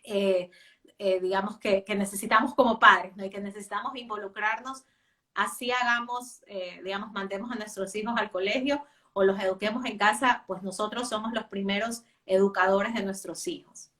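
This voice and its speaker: A woman's voice: mid-range voice, fairly even intonation, normal speed, pushed and bright. Hesitant Spanish-speaking mother, mic far off. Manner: hesitant, many fillers and restarts. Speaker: guest, homeschooling mother. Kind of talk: casual interview talk.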